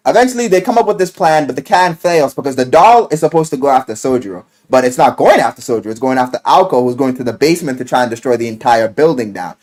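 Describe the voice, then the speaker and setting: A man giving a casual, enthusiastic recap of a story, animated and slangy, swearing freely and acting out the quotes. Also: mid-range voice, quick pace, few pauses. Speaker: video game and visual novel reviewer. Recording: music playing behind the voice. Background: music.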